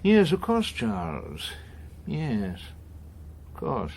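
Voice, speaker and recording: An ambitious, easily led government minister. He is pompous and theatrical, his pitch leaping about, with a husky edge to the voice. It is political drama acting. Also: huge pitch swings, slow pace, darkish tone, heavily acted. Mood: gleeful, calculating.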